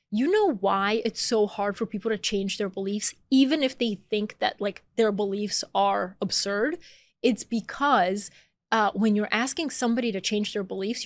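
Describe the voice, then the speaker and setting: A woman in her thirties talks loosely and warmly, with lots of "like" fillers and ready laughter. Her voice sits at a middle pitch. The recording is dry and close, with no room sound. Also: no room sound. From born in Bulgaria, raised in Atlanta, Georgia. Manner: chatty, frequent "like" fillers, laughs easily. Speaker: author and podcast guest. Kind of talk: casual conversational interview.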